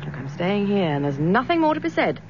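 Strong-willed British wife played by an actress, animated. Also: female voice, medium pitch, brisk, muffled recording, a little hiss or hum, close to an everyday voice. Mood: firm, indignant. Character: detective's wife, strong-willed, loyal, no-nonsense. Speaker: actress in a radio drama. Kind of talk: naturalistic British radio drama acting.

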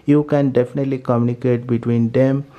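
A man's voice: mid-range voice, little pitch movement, fairly quick, warm tone. A man narrating a step-by-step tech tutorial in a methodical, repetitive way.

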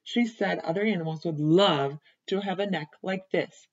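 A woman reading as a self-conscious male giraffe who complains gloomily about his long neck, with a slightly husky voice. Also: fairly low pitch, moderate pace, lo-fi sound. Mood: glum.

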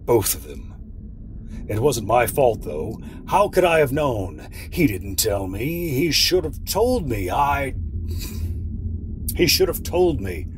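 An actor playing a guilt-ridden, self-excusing arsonist in an audio drama monologue, brooding and halting. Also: male voice, mid-range voice, sing-song, noisy background. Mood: defensive, agitated.

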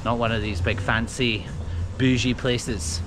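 A man's voice: medium pitch, steady tone, a little slow, over music. A man vlogging as he walks outdoors, chatty and upbeat, greeting people as he goes. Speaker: travel vlogger. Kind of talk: casual walking vlog narration. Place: outdoors on a busy street. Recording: street traffic noise behind.

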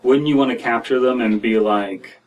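A man's voice: mid-range voice, moderate pace, dull audio. A young American man hosting a podcast with casual, rambling commentary in a room at home. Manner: rambling, peppered with "like" and "you know". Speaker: podcast host commenting on stories. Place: small room at home, indoors. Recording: distant mic with room noise.

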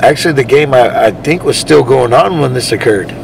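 Gravelly man livestreaming over loud background noise. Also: medium pitch, fairly quick. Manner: slangy, addressing viewers as guys. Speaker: livestream host covering police activity. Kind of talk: casual live commentary to viewers. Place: outdoors at night roadside, then in a car. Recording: noisy outdoor street and car ambience.

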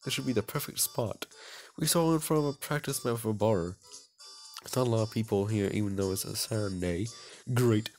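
A man's voice: fairly low pitch, normal speed, darkish tone, faint music behind, low-quality recording. A man doing casual playthrough commentary and reading game text aloud in a goofy, self-mocking way. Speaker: let's player.

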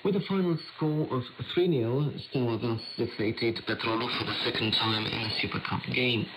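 A man's voice: mid-range voice, moderate pace. A man reading a scripted sports news bulletin as a radio presenter, heard over shortwave. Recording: noisy shortwave radio reception. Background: radio static and interference.

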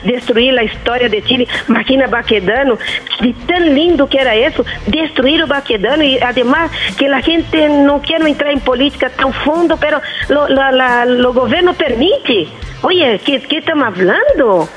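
A woman's voice: high voice, lively intonation, moderate pace. A mature woman from Brazil with a Brazilian accent in Spanish, a native Portuguese speaker, calling a live show and talking emphatically. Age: middle-aged or older. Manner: emphatic, impassioned, repetitive. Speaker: phone-in caller. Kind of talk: opinionated live phone-in talk. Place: phone line into live TV show. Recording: phone call heard over broadcast.

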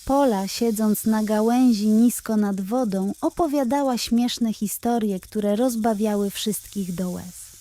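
A woman's voice: medium pitch, measured pace, hardly any pauses, mellow. A woman narrating a scripted bedtime story for children.

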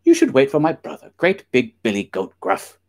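A man's voice: mid-range voice, huge pitch swings, normal speed, voice pushed up. A man voicing a middle-sized billy goat in a storybook, nervous but composed and persuasive, in a raised voice. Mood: nervously persuasive.

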